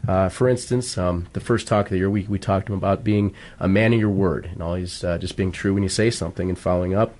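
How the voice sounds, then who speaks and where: A man speaking as a school football coach in a radio interview, earnest and halting as he explains. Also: deep voice, steady tone, darkish tone.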